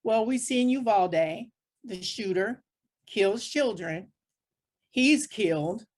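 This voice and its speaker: A woman's voice: medium pitch, expressive, slow pace, darkish tone. Woman explaining points in a panel discussion.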